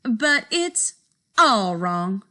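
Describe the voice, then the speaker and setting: Female children's story reader, expressive, bright forceful tone, unhurried delivery. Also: mid-range voice. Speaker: school principal reading aloud. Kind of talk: expressive children's picture-book reading.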